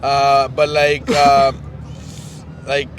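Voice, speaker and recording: Halting man off-mic in a crowd. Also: mid-range voice, completely flat delivery. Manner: halting, many "uh" and "like". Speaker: interviewee. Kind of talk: casual street interview answer. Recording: outdoor street, from a distance, crowd noise.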